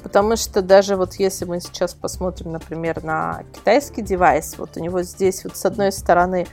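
A woman's voice: on the low side, steady tone, fairly quick, a little mellow, soft background music. A woman speaking as an interview guest, explaining things in an informal, rambling way with emphatic repetition. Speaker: guest, website editor and product reviewer.

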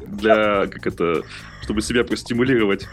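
A man's voice: fairly low pitch, normal speed, music in the background. A man co-hosting a podcast in casual conversation. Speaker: podcast co-host.

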